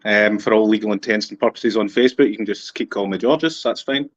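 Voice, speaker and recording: A man's voice: on the low side, fast talker, no echo. Glaswegian man in his thirties. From Glasgow area, Scotland. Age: 30s. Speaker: guest. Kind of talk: casual conversation. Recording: dull, muffled call audio.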